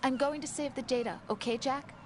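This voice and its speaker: A high-voiced young female analyst played by an actress, composed and helpful as she explains things in video game drama style. Faint noise sits behind the game audio. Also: brisk, close to an everyday voice. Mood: calm, concerned.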